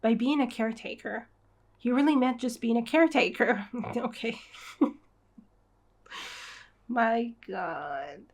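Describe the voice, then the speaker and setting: A woman's voice: fairly high pitch, slow pace, pauses often, a little mellow. A woman giving a casual read-along reaction as host, with informal, wry, sarcastic commentary.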